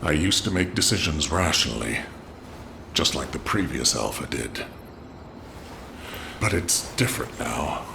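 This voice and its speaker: An actor playing a gruff, weary older veteran soldier in a video game cutscene, speaking with stoic reflection. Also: male voice, a bass voice, expressive, measured pace, pauses often, background noise, full character voice. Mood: weary, reflective. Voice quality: very gravelly.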